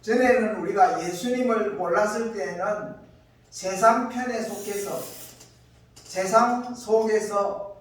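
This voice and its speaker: A man preaching as a pastor, reading scripture and explaining it deliberately in short phrases. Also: high-pitched. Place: church hall. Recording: echoey church, distant mic, faint noise.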